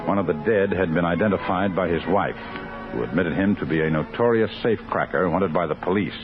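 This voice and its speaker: An actor playing a stern district attorney in a 1950s American radio crime drama, speaking with clipped, insistent authority. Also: male voice, low-pitched, fairly quick, music in the background, lightly acted. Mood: grave narration. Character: stern, commanding male district attorney investigating a case. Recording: noisy, muffled 1950s radio recording.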